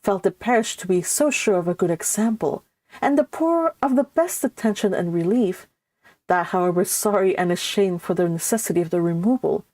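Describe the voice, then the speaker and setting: A woman hosting a live read-along, reading a classic novel aloud and adding chatty, expressive asides. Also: medium pitch, normal speed, no room sound.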